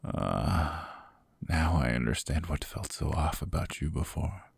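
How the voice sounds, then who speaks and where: Guy doing a sadistic demon king, super deep and slow, breathy husky whispers, dry close mic. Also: no echo, exaggerated voice. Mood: mocking. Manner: slow, mocking, condescending. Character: cold sadistic male demon king, possessive. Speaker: voice actor. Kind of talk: ASMR roleplay audio.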